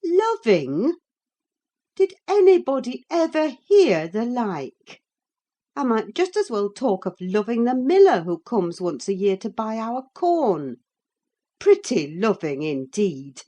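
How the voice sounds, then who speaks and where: A woman's voice: fairly low pitch, expressive, unhurried, dark tone. A woman voicing a stern older housekeeper who scolds a girl with scorn, her voice a little raised. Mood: scolding, scornful.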